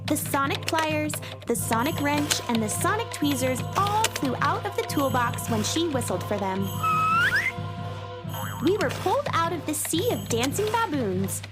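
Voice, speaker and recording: A high, animated young cowgirl heroine speaks with earnest, storybook sincerity. It is children's radio theater, with music clearly playing underneath. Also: measured pace. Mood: storytelling. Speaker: narrator and lead actor. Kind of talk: children's radio drama acting and narration.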